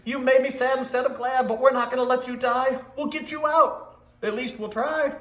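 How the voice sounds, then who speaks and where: A man's voice: very high voice, steady tone, normal speed, a bit bright, pitched above the performer's own voice. A man voicing a dog's earnest young master in an emphatic, exclaiming storybook read-aloud, recorded with a roomy echo. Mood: earnest, urgent. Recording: echoey, roomy sound.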